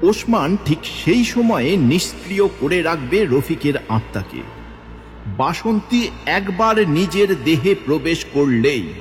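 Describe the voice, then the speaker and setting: A man narrating a horror audio story in a slow, dramatic manner. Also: on the high side. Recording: long reverb with faint music.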